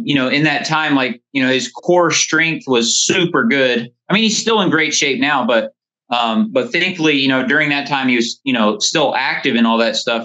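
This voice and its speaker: A man in his thirties with a bright, forward voice and a middling pitch. He talks casually and ramblingly, like a guest sharing stories, over a dull phone connection. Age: 30s. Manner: rambling, frequent "you know". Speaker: podcast guest, lawn care business owner. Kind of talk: casual interview storytelling. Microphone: phone call. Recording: dull, band-limited phone call.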